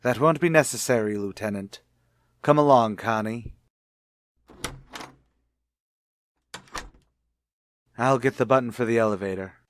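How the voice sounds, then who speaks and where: A man's voice: mid-range voice, a little fast, slight background noise, natural voice. Man acting an earnest, self-justifying husband with secrets. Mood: guarded. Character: cornered, pleading husband hiding a false identity. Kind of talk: old-time radio drama acting.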